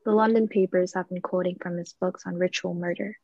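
A woman playing a self-assured young married gentleman with a husky, creaky voice in a radio play. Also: fairly low pitch, steady tone, fairly quick, dry recording. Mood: inquisitive. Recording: dry, slightly dull sound.

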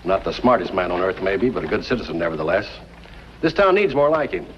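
Plain-spoken frontier banker, animated. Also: male voice, low voice, quick pace. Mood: approving. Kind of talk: 1950s television western acting. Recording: muffled, noisy old television soundtrack.

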